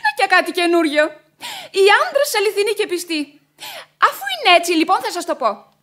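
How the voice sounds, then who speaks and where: An actress in a radio play playing a proud, easily offended young widow with a haughty, declamatory delivery. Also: female voice, extremely high pitch, very animated, fairly bright tone, exaggerated voice. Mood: impassioned, scornful. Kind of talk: theatrical radio play acting.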